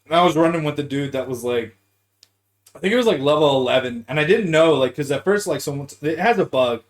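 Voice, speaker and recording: Guy hosting a gaming podcast, kinda higher voice, swears a lot, teasing slangy chat. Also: fast talker. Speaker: podcast host.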